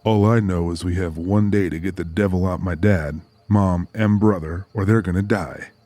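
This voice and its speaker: A man's voice: sits low, fairly even intonation, normal speed, dark tone, no echo, close to an everyday voice. An actor playing a dry, wry, plainspoken country man in an audiobook drama. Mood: serious. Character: Joe, dry, easygoing country man, anxious but decent.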